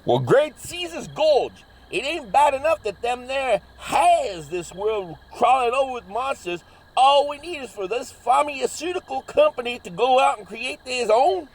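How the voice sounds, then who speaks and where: A man's voice: extremely high pitch, sing-song, a little slow, slight background noise, heavily acted, low-quality recording. A man playing a cocky, hot-headed backwoods monster hunter with a Southern US accent and a folksy, blustering, ungrammatical drawl in a comedy horror audio drama. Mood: folksy, suspicious.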